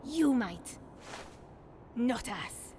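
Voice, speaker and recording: An actress playing a bitter, vengeful young resistance fighter in a video game, intense and deliberate. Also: female voice, fairly high pitch, expressive, noisy background. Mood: bitter anger. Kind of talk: dramatic video game acting.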